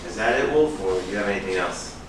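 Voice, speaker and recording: A man's voice: low-pitched, animated, fast. A man playing a cool, defiant screenwriter with a sardonic, challenging manner in a staged reading. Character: cool, defiant male screenwriter suspected of violence. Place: room at a live staged reading. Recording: echoey room, distant mic, noisy.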